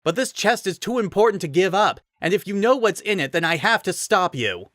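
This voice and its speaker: A man's voice: high voice, sing-song, fairly quick, fairly bright tone, no echo, clean studio sound, voice pushed up. A man doing an earnest young hero.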